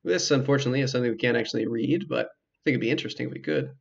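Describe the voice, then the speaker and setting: A man hosts a casual game walkthrough in a creaky, mid-pitched voice on a dull, lo-fi mic. He explains each step in a measured, hesitant way with dry asides. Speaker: video game walkthrough host. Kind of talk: casual let's play commentary.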